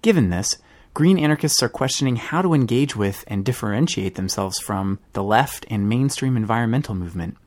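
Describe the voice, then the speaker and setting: A man's voice: mid-range voice, expressive, fairly quick, hardly any pauses. A man delivering scripted narration as a podcast host.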